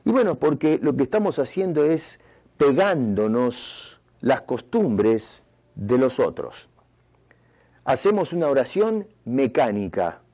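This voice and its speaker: A man's voice: mid-range voice, very animated, slow, warm tone. A man with an Argentine Spanish accent preaching a sermon as pastor, earnest, asking the congregation rhetorical questions. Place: church retreat in Canada.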